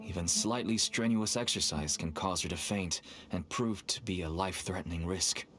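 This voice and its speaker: A man's voice: sits low, moderate pace, fairly bright tone. A man voicing a nervous, wheedling middle-aged father and dealer in a game, with a persuasive manner. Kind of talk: game voice acting. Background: game music.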